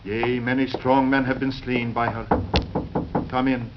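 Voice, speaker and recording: A man's voice: medium pitch, flat delivery, measured pace, very noisy, close to an everyday voice. A man playing a brooding, pious lodger in 1940s radio drama, solemnly intoning as he speaks. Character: brooding, pious male lodger reciting scripture. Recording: muffled 1940s radio recording.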